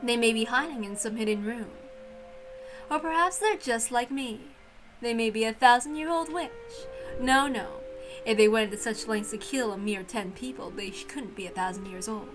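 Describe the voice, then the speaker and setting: A woman's voice: on the high side, normal speed, over music, lightly acted, rough recording. A woman voicing a haughty, playful witch centuries old, theatrical and teasing, in a dramatic visual novel reading. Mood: amused, teasing. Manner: theatrical, teasing, given to chuckling. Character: haughty, playful centuries-old female witch who relishes mystery games.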